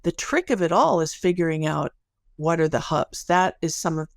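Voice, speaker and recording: A woman's voice: low voice, animated, normal speed, no room sound, clean studio sound. A woman speaking as an expert interview guest, explaining things in an articulate, analytical way. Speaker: interview guest, sociology researcher.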